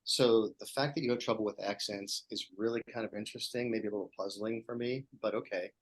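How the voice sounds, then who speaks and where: A man's voice: lowish voice, fairly even intonation, a little fast. A man who used to teach, a guest on a casual podcast, talking with enthusiasm. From Pittsburgh, Pennsylvania.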